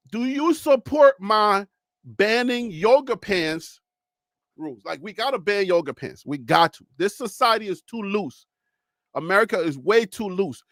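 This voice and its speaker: A man from Liberia, hosting a live call-in show in a preachy, animated way and reading the chat. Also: on the high side, no echo, clean studio sound. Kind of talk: casual livestream talk.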